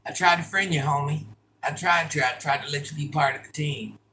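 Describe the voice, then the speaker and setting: A middle-aged woman with a deep voice, chatting casually and bluntly as she answers viewer comments in a livestream. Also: fairly even intonation, a little fast, bright, forceful tone, slight background noise. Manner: blunt, sassy, talks straight to commenters.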